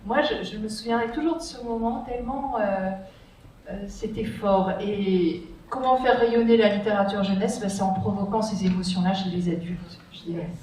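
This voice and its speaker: A woman's voice: mid-range voice, normal speed, warm tone. Woman author, hesitant reflective delivery; distant mic, heavy hall echo, faint hiss. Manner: hesitant and reflective, many fillers. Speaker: author on a panel. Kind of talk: round-table discussion. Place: large echoey library hall. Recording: echoey hall from far off, faint noise.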